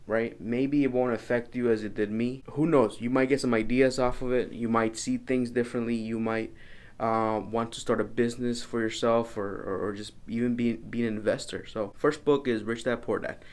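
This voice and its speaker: A man's voice: mid-range voice, steady tone, moderate pace, a little mellow. A young man from El Salvador, talking earnestly to camera from his home office, often repeating and restarting his phrases. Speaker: business vlogger. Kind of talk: casual vlog talk to camera.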